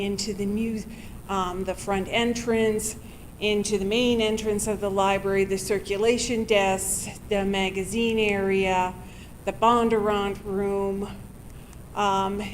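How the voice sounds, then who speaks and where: A woman with a soft voice presenting to a city committee, speaking haltingly with frequent pauses. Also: medium pitch, a little hiss or hum. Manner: slow, halting with frequent ums. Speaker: library director presenting. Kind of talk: public meeting presentation. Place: city council chamber.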